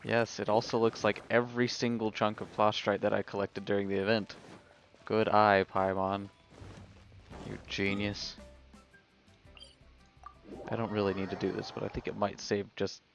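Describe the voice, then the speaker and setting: A man giving casual, wry live commentary as he streams a video game, in a creaky voice. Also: fairly low pitch, level tone, moderate pace, pauses often, dull audio, soft background music. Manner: wry, sarcastic.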